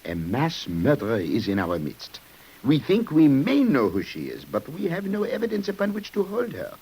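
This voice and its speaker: An actor playing a grave, commanding French police commissioner in a 1950s radio crime drama. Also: male voice, medium pitch, wildly swooping pitch, normal speed, darkish tone, husky, poor audio. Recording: old-time radio broadcast.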